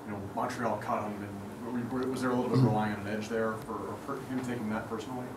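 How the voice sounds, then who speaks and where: Fast-talking reporter, echoey room. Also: male voice, on the low side, fairly even intonation. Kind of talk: asking questions at a press conference. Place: press room. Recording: echoey room with background noise.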